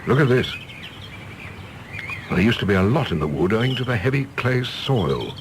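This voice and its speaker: An actor playing a modest, wistful gentleman in a radio drama, polite, understated and reflective. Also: fairly low pitch, big pitch swings, moderate pace, background noise.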